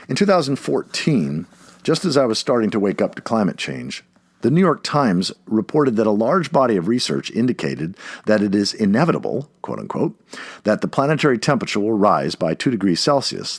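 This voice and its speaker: A man reads an essay aloud in a rough, husky voice. His intonation swings widely as he stresses points with dramatic emphasis. The recording is dry and close, with little room sound. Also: on the low side, dry recording. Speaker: audiobook narrator.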